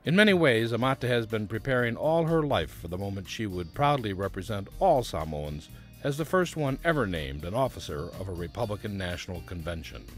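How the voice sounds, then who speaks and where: A man narrating a documentary in a scripted, expressive delivery. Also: on the low side, brisk, faint music behind.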